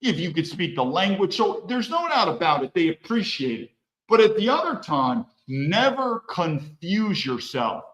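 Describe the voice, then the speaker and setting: A man's voice: high-pitched, very animated, measured pace, fairly bright tone, roomy sound, poor audio. A man hosting a solo podcast, talking bluntly and emphatically and repeating points for stress. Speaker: podcast host. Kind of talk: opinionated solo monologue.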